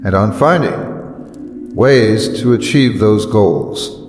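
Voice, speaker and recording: A man reading motivational sayings in a solemn, deliberate and emphatic way, with weighty pauses, like a dramatic speech. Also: lowish voice, a little mellow. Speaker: narrator of motivational quotes. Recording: echoey long reverb over music. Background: background music.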